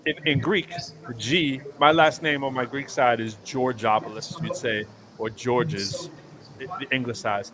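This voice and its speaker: A man's voice: mid-range voice, fairly even intonation, measured pace, music in the background. A man hosts a casual live stream from a hotel stay, winding through stories. He keeps restarting his sentences and filling the gaps with "you know" and "um" in a mid-range voice. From United States East Coast. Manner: rambling storyteller with many fillers and restarts. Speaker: show host. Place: hotel area in New York City.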